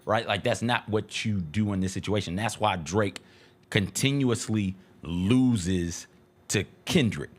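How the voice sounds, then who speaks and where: A man's voice: on the low side, measured pace. An American man co-hosting a talk show with casual, slangy commentary, emphatic and often repeating himself.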